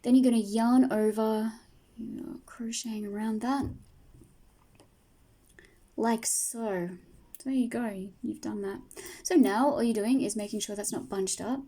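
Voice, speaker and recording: Woman, crochet tutor, soft and patient, fading voice, highish pitch, mellow tone. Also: steady tone, a little slow, long pauses. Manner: soft, patient, talks through each stitch. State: losing her voice. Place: home table, indoors.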